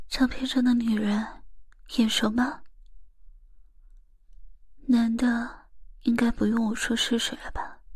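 A woman playing a sly, teasing young stepmother in a whispered, breathy audio roleplay, coaxing and drawn-out. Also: fairly high pitch. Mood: hushed, knowing.

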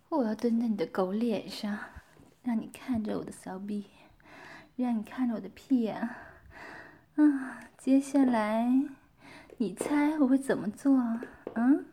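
A woman playing a domineering, scornful mistress who gives commands and taunts, sometimes dropping to breathy whispers. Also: sits high, noisy background. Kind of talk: roleplay audio drama.

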